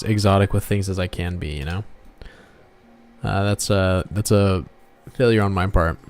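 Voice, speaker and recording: A man streaming as a game developer and artist, chatting casually and muttering in a low-key way as he works. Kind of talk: casual livestream chat while drawing.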